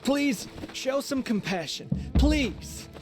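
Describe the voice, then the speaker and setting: An actor playing a meek, desperate debtor in a video game, stammering and pleading politely. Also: male voice, very high-pitched, animated, takes it slowly, very noisy, exaggerated voice. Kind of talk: cinematic video game acting.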